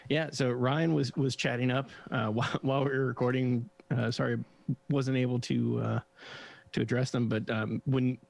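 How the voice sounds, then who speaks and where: A man hosting a developer podcast, relaxed and loose, with plenty of fillers while wrapping up. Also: medium pitch, moderate pace, gravelly, no echo. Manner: relaxed, many fillers and restarts. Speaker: podcast host. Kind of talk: casual podcast wrap-up.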